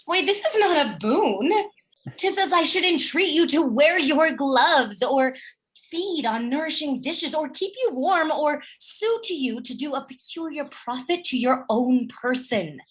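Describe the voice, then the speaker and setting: A woman playing an earnest, affectionate, persistent noble wife in a Shakespearean radio play, expressive and pleading. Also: high-pitched, unhurried. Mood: playful, dutiful.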